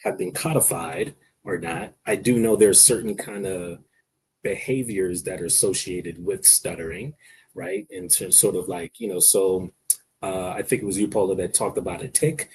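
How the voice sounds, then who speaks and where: A man with a somewhat low voice talks through his experience in relaxed conversation. He stutters, restarting and repeating words often. A faint hiss sits under his voice. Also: normal speed, poor audio. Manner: person who stutters, with hesitations and repeated words. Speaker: guest speaker on stuttering. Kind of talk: casual conversational discussion.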